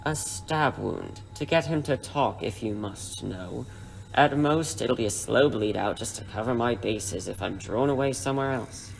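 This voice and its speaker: Over clear background noise, a man plays a cold, sadistic gangster in an audio drama. His voice is gravelly and creaky, with a measured, taunting sneer. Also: medium pitch, low-quality recording.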